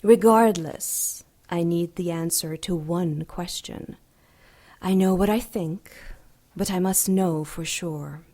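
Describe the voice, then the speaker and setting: A woman playing an ancient, seductive and coolly menacing demon goddess in an audio drama, silky, deliberate and mocking. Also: fairly low pitch, mellow. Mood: insistent.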